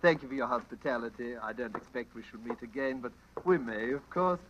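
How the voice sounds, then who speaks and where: A man playing a sly, sharp-voiced sinister gentleman. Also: medium pitch, a little fast, natural voice. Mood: slyly menacing. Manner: chuckling, musing, insinuating. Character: sly, sinister genial gentleman stranger hinting at poison. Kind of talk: 1940s radio acting. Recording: dull, faintly noisy old radio recording.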